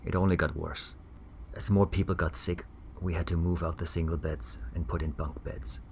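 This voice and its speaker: An actor playing a weary, defensive ex-army doctor in a grave, measured audio drama interview. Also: male voice, sits low, flat delivery, gravelly, slight background noise. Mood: somber.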